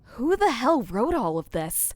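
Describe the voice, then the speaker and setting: A woman's voice: fairly high pitch, big pitch swings, a little slow, a little mellow, dry recording. A woman playing a wary, exasperated young explorer who mutters wryly to herself in an audio drama. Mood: wary, puzzled. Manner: muttering, wry. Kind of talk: dramatized fan fiction podcast.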